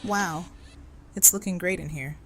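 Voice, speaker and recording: A woman playing a supportive, level-headed friend in an audio drama, with a measured conversational delivery. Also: lowish voice, a bit bright. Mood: approving.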